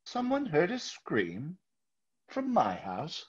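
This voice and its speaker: A man playing a nervous, overpolite murderer hiding his guilt, halting and husky, in old-time radio theater style. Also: fairly high pitch, huge pitch swings, full character voice. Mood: feigned calm. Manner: halting, over-courteous, stammering. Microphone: video call. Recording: dull, lo-fi video call audio.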